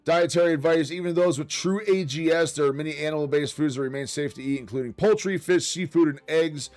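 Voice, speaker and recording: A man hosting a casual livestream, reading notes aloud with chatty asides, his voice slightly raspy from a long stream. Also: a little high, fairly quick. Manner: chatty and upbeat, quiet asides while reading. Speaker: livestream host.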